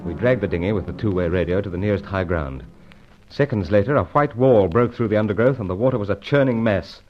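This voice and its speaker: Secret agent lead, radio drama male actor: lowish, steady, heroic old-school phrasing, dull recording. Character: steady, brisk British secret agent hero, adventurous. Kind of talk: radio adventure serial acting and narration.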